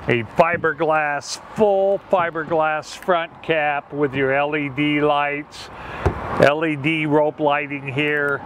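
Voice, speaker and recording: A middle-aged man with a loud voice giving an enthusiastic sales walkaround, listing features one by one. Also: a little high. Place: outdoors by a trailer on a lot, then inside the trailer. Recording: camera audio with road noise. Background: road and traffic noise.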